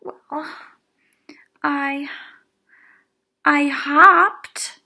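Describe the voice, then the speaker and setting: An earnest little schoolgirl from a storybook, acted out by a woman reader. The voice is pitched high and bright, excitable and insistent, eager to be noticed. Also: drawn-out words, heavily acted. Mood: hesitant. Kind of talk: children's storybook read-aloud.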